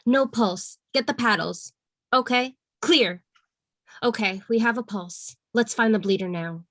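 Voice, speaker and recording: A woman's voice: on the high side, a little slow, dry recording, studio quality. A woman playing a commanding hospital surgeon giving clipped orders in a screenplay read-through. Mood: urgent, commanding. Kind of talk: screenplay table read acting.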